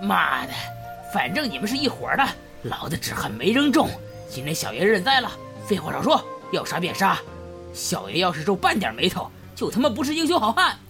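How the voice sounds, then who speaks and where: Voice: medium pitch, huge pitch swings, fairly quick, fairly bright tone, soft background music. An actor playing a coarse, cursing, defiant bandit ruffian in a martial-arts audio drama.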